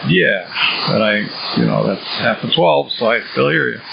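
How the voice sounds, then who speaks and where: A man talks casually over two-way radio, his voice full of creak and fry. Clear static noise sits around the voice throughout. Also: medium pitch, normal speed, lo-fi sound. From United States. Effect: sideband radio transmission. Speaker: amateur radio operator. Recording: noisy sideband radio with static.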